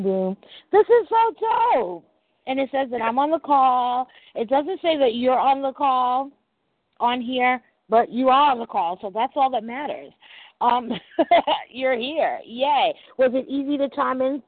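A husky-voiced host in a casual call chat, talking loosely with fillers and swearing, heard over call audio. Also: high-pitched, expressive, measured pace, no echo. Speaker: podcast host. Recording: tinny phone-like call audio, dry.

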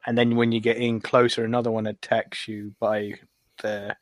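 A man with a lowish voice talks casually on a gaming roundtable, recorded dry with no room sound. He explains things in a stop-and-start way, as if recalling details as he goes. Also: level tone, normal speed, dry recording. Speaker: podcast roundtable panelist. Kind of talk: casual chat about gameplay.

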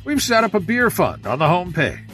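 A man's voice: high-pitched, wildly swooping pitch, brisk, a bit bright. A man reading a scripted podcast network promo as an announcer, with music underneath.

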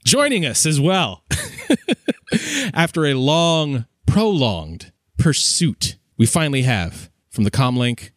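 A man's voice: fairly high pitch, big pitch swings, takes it slowly, no room sound, studio quality. A man hosting a fan podcast enthusiastically, with playfully grandiose and emphatic phrasing. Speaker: podcast host.

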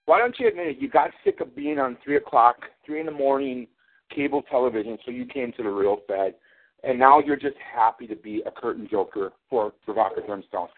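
A man's voice: mid-range voice, sing-song, brisk. A man cutting a sarcastic, mocking wrestling promo, taunting his rival as champion. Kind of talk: trash-talking wrestling promo over a call. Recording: tinny phone line.

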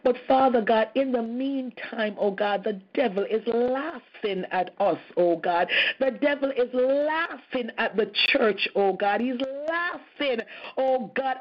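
Woman prayer leader, fervent and impassioned, fairly high voice, muffled call audio. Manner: impassioned, repeats "oh God" between phrases. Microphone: phone or conference call.

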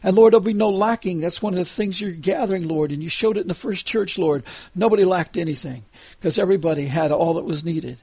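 An older man leading a long spoken prayer, repeating "dear Lord" between phrases, with halting restarts. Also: high-pitched, darkish tone, slightly rough, no room sound. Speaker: prayer leader.

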